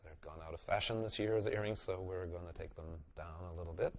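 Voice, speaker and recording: Low-voiced man with a nasal cold. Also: fast talker. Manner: relaxed, musing, with fillers and wry asides. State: congested, slightly nasal from a cold. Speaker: bodywork teacher. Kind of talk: instructional webinar talk.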